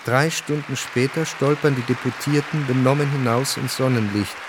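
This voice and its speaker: A man narrating a scripted radio drama in a measured, deliberate way. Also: mid-range voice, fairly even intonation, noisy background. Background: music.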